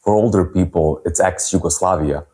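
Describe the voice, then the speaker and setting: A man in his thirties from Serbia, telling his personal story as a holistic health coach at a virtual event in an earnest, unhurried way. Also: low voice, warm tone, breathy edge. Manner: earnest, unhurried, with frequent pauses and fillers.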